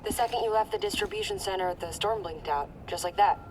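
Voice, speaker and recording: An actress playing a calm, caring colleague on comms in a video game, speaking in a measured, soothing way. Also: female voice, medium pitch, background noise, lightly acted. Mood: puzzled, matter-of-fact. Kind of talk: naturalistic video game acting.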